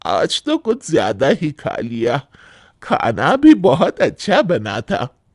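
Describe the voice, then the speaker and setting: Guy putting on an older indian cartoon saas, motherly, gentle scolding, really slow stretched words. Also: a little high, huge pitch swings, no echo, studio quality, heavily acted. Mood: contented. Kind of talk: animated Hindi moral-story cartoon acting.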